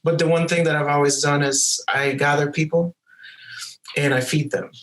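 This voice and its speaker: Man from Puerto Rico, higher voice. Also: little pitch movement, moderate pace, a bit bright, low-quality recording. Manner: friendly, slips into Spanish phrases. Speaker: guest, restaurant owner. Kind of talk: casual interview storytelling.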